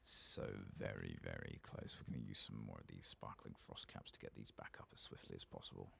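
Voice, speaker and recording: Very deep, gravelly soft-spoken man. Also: fairly even intonation. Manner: murmured, unhurried, talking to himself while playing. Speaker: gaming channel host. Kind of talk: soft-spoken ASMR game playthrough commentary. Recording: faint background noise.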